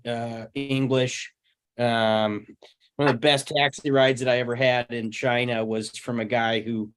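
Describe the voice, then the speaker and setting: A man in his thirties talking casually in a group discussion, reflective and halting, with long pauses. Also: mid-range voice. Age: 30s. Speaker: table read participant.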